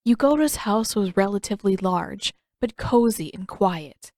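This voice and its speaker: A woman's voice: medium pitch, darkish tone, no room sound, clean studio sound. A woman reading fiction as a scripted audiobook narrator.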